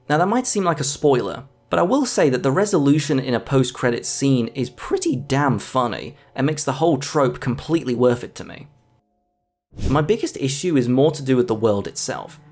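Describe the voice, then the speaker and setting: A man narrating a scripted film review as the host of a video essay. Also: mid-range voice.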